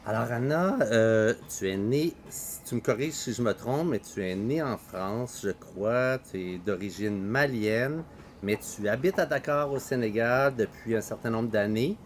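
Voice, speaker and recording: A man's voice: mid-range voice, unhurried. Québécois guy hosting his podcast, laid back, lots of euh, bit of noise behind. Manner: relaxed, hesitant, many fillers. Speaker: podcast host. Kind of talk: casual podcast conversation. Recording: faint background noise.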